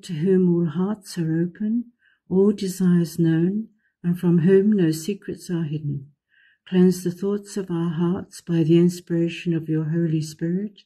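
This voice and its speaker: Older woman, low husky voice, very mellow, reciting prayer. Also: low-quality recording. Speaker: nun leading prayer. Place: dim room indoors.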